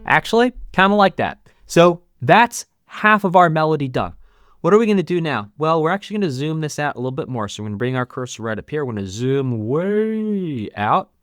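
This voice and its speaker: A man teaching as a channel host, casually narrating a step-by-step music software tutorial and talking through each click. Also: a little high, animated, fast talker, no echo.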